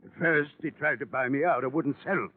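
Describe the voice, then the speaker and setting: An actor playing an irascible, fretful and ailing railroad president, blustering in 1950s radio drama style. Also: male voice, medium pitch, a little fast, phone-quality sound, lightly acted. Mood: bitter. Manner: agitated, blustering, ranting. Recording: vintage 1950 radio broadcast.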